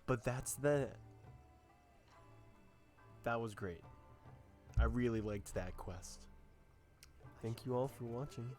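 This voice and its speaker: A man's voice: mid-range voice, takes it slowly, long pauses, a little mellow, soft background music. A man streaming a video game, giving casual commentary in an unscripted, musing way and often repeating himself.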